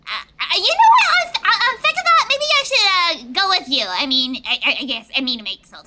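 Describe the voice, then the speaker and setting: Woman as high, stammering, anxious girl trainer. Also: sing-song, fairly quick, very noisy. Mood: nervous, flustered. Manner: stammering, flustered, hesitant. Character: anxious, clingy young female monster trainer.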